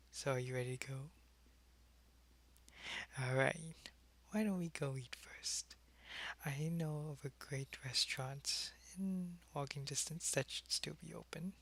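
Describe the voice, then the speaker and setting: A man playing a wistful, friendly, centuries-old vampire in an intimate, soft-spoken ASMR roleplay, talking casually and often slipping toward a whisper. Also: medium pitch, creaky, exaggerated voice. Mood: casual, inviting, then hushed, wistful.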